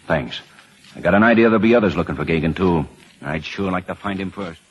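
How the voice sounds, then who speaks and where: Federal agent: extremely low, husky, terse and businesslike, old radio drama. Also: male voice, quick pace. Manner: terse, matter-of-fact. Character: clipped, businesslike American Treasury Department agent. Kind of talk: 1940s radio acting. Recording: muffled vintage radio recording.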